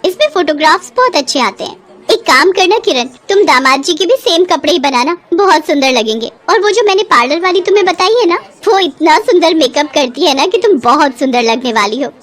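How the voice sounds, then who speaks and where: An eager young daughter-in-law, played by a woman storyteller with a very high voice. She is chatty and obliging, bubbling along as in a domestic storybook drama. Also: animated, brisk, fairly bright tone. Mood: chatty, excited.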